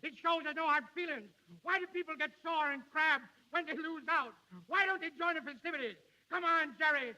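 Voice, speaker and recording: A man's voice: very high voice, brisk, hardly any pauses, sharp tone, heavily acted, rough recording. An actor playing a jilted showman, brash and insistent in his forced gaiety, in a 1930s radio drama. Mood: forced gaiety, bitter. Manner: insistent, showy, rhetorical. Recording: old 1930s radio broadcast with music.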